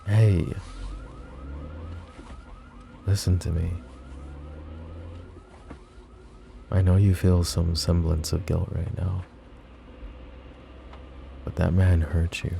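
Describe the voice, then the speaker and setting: Man acting a deep, hushed, possessive mafia boss. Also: level tone, creaky, a little hiss or hum, full character voice. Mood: calm, protective. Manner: hushed, deliberate, tender, often dropping to whispers. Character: possessive, protective male mafia boss, smooth menacing devotion. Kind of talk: intimate ASMR roleplay acting.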